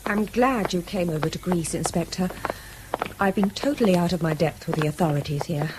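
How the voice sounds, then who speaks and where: An actress plays a woman in a radio drama, composed but worn down, polite with a dry edge. Her voice is on the low side, and there is clear noise behind it. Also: fairly quick, natural voice. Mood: weary, composed. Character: weary, composed woman shaken by ordeal. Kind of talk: BBC radio drama acting. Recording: noisy background with clicks.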